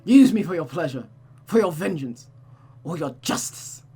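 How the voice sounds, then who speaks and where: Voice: medium pitch, lively intonation, normal speed, warm tone, breathy edge, faint music behind. Narrator acting out a deranged, sadistic, taunting man. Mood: mocking, self-abasing. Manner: taunting, theatrical, maniacal. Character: sadistic, deranged, mocking man, bitterly cynical.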